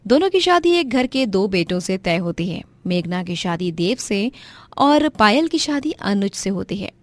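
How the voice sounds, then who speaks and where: A woman narrates a scripted story as storyteller. Her voice sits at a medium pitch, and the recording is very dry. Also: big pitch swings, measured pace, no echo.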